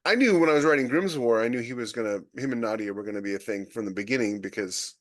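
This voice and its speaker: A man's voice: mid-range voice, fast talker, no echo, clean studio sound. A man co-hosting a podcast panel, chatting casually about writing, rambling with lots of restarts and fillers. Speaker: podcast co-host, author.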